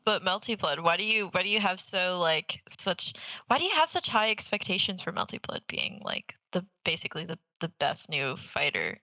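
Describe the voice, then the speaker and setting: A young woman co-hosting a casual gaming podcast, talking in a gushing, excitable, slangy way. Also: on the low side, normal speed, vocal fry, dry recording, low-quality recording. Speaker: podcast co-host.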